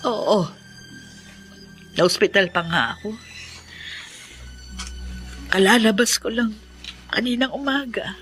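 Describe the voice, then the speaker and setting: A woman's voice: mid-range voice, lively intonation, slow pace, pauses often, a bit bright, soft background music. An actress in a radio drama playing a subdued, regretful mother speaking earnestly. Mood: surprised, gentle.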